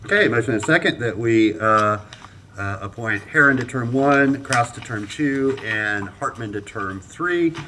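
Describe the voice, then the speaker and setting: A man's voice: mid-range voice, lively intonation, background noise. A man chairing a city commission meeting as mayor, moving through appointments with many ums and uhs. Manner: halting, many fillers, brisk procedural. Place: council chamber.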